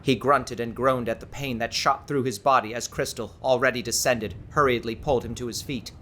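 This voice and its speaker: A man's voice: medium pitch, steady tone, fairly quick, heavy background noise. A man reading an audiobook as dramatic scripted narration.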